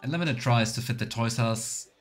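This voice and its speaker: A man streaming a video game with casual commentary and role-play asides, thinking out loud. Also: mid-range voice, fast, soft background music.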